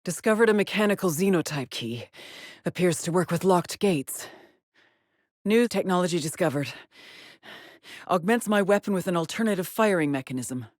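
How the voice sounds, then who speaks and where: An actress voicing a weary, driven middle-aged woman exploring space in a video game, with a husky, clipped and solemn delivery. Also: fairly low pitch, animated, normal speed, long pauses, darkish tone, dry recording, clean studio sound, natural voice. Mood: focused, measured. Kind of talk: dramatic game voice lines, terse log entries.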